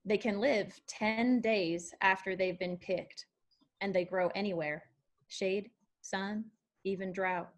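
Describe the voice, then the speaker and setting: A woman reads the part of a young country drifter with an old soul. She is plainspoken and folksy, pressing gently in a medium-pitched voice, as in a drama read-through. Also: fairly even intonation, takes it slowly, lightly acted. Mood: thoughtful. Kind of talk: screenplay table read.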